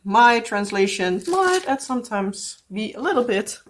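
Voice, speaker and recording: A woman's voice: fairly high pitch, very animated, measured pace, slight background noise. A woman with a Dutch accent, a native Dutch speaker, chatting casually in a rambling way as a craft vlogger. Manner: rambling, full of "like" and "yeah". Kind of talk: casual chat.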